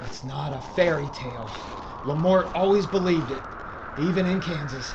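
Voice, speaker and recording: A man's voice: on the high side, moderate pace, lightly acted. An actor playing a frightened male gun merchant in a Western audio drama, pleading and nervous. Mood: grave. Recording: muffled lo-fi with hiss.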